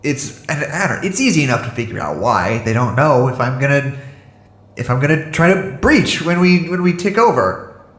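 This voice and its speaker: A man playing an anxious, halting captive under guard, breaking off and restarting his sentences in an audio drama. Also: medium pitch, big pitch swings, fairly bright tone. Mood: uneasy, resigned. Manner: hesitant, self-interrupting. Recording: echoey room.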